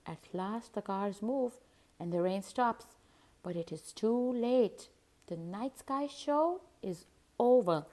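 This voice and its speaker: Animated woman reading a children's picture book. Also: medium pitch. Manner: animated, deliberate storytelling. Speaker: host and read-aloud narrator.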